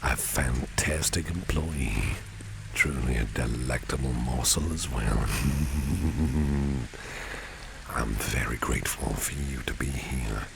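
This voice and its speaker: Casting: male actor as suave protective boss for ASMR roleplay, extremely low, heavily creaky voice, flirtatious and soft-spoken. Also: measured pace, full character voice. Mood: tender, flirtatious. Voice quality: gravelly, breathy near-whisper. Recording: steady rain noise behind the voice. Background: rain and street ambience.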